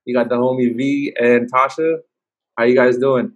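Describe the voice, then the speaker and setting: A man's voice: medium pitch, level tone, moderate pace, dry recording. A man hosting a podcast interview, talking in a laid-back, slangy way with lots of fillers. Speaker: podcast host. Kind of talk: casual interview chat.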